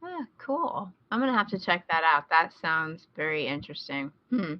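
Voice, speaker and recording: A woman's voice: low voice, big pitch swings, normal speed, no echo, lo-fi sound. A woman with an American accent chatting casually as a podcast co-host, recommending things she enjoys. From rural Midwest United States.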